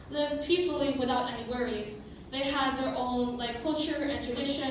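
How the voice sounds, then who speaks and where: Teenage female student presenter, high-pitched, scripted but informal; distant mic, strong room echo. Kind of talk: school presentation, prepared script with casual asides. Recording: very echoey room, distant mic, clear noise.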